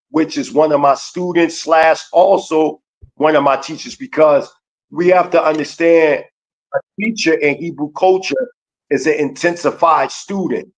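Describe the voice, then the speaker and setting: A man giving a slow, emphatic lecture in a preaching cadence, with speech, repeating key phrases for weight. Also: a little high, poor audio. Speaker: guest teacher. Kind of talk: preaching-style lecture on a livestream.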